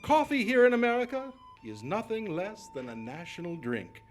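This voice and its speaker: A man's voice: high-pitched, sing-song, normal speed, faint music behind. A man playing a polished, enthusiastic 1940s-style radio announcer, declamatory and emphatic. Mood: smooth, selling. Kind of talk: 1940s radio advertising and narration.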